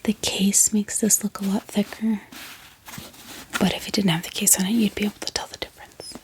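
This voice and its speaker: A woman hosting a bookish ASMR video in a soft whisper, talking gently and informally to her viewers without hurry. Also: mid-range voice, little pitch movement, a little fast. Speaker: book haul ASMR host.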